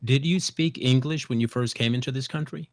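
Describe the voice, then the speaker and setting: A man's voice: medium pitch, fairly quick, no room sound, studio quality. A man hosting a television interview, chatting and telling stories from his own life. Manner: conversational, storytelling. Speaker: host. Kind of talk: talk show interview.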